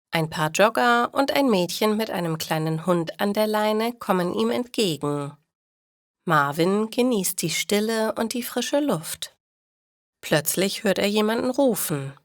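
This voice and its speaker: A woman narrates learner stories at a very unhurried pace, articulating each phrase with care. The recording is dry and close, with a mid-range voice. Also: medium pitch, animated, no echo. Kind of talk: scripted story narration for language learners.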